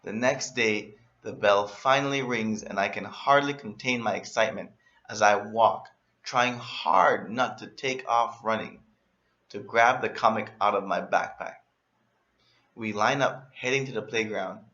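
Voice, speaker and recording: A man reading a children's story aloud in an animated, expressive way, as a storyteller. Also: lowish voice, unhurried, dull audio. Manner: expressive, acts out emphasis and exclamations.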